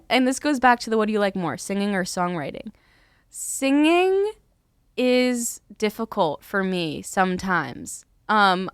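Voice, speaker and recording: A woman's voice: mid-range voice, smooth, dry recording, clean studio sound. A teenage girl from Philadelphia, a singer-songwriter, chatting casually as a guest and saying "like" a lot. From Philadelphia, USA. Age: teens. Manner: chatty, lots of "like", laughs while talking. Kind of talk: casual interview chat.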